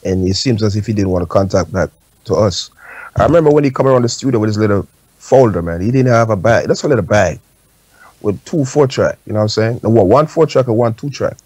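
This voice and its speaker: A man's voice: fairly low pitch, animated, quick pace, darkish tone, husky, dry recording. A man with a Jamaican accent talking casually and anecdotally as a guest in a radio discussion. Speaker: talk show guest.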